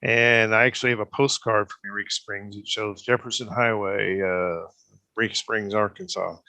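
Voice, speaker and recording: Man talk show guest, bright tense tone, dry sound, loose hesitant answers. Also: lowish voice, normal speed, dry recording. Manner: relaxed, rambling, hesitant with fillers. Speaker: guest, association president. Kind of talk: casual interview answers.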